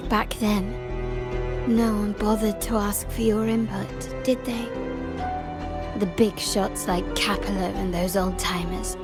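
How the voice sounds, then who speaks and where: A woman playing a resolute, calculating young heiress in a game drama, speaking in a measured, deliberate way. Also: mid-range voice, lightly acted. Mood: mocking, taunting. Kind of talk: dramatic video game voice acting.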